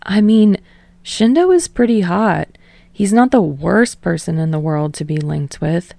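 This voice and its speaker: A woman voicing an easygoing, bashful young man with a soft, earnest manner in a story reading. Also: lowish voice, measured pace, creaky, dry recording. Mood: teasing, soft. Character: easygoing, gentle, bashful young male rock musician.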